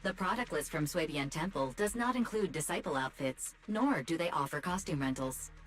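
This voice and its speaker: A polite AI assistant from a video game. Her voice is slightly low with a wide, lilting melody, and she speaks formally and precisely. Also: expressive, normal speed. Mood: formal, matter-of-fact. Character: polite, matter-of-fact female AI assistant. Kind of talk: English video game voice acting.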